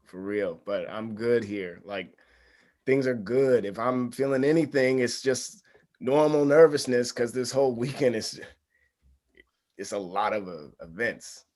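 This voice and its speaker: A man's voice: mid-range voice, a little slow, darkish tone, close to an everyday voice. A man acting in a table read as an easygoing but evasive young groom, hesitant and placating in a casual way. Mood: reassuring, uneasy.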